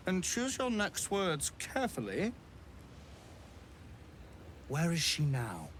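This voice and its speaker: Pompous, slow-talking wizard villain. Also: male voice, high-pitched, a bit bright, a little hiss or hum, full character voice. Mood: menacing, probing. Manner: pompous, drawn-out, deliberate delivery. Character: haughty, theatrical male wizard, smugly menacing tower master. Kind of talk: video game villain acting.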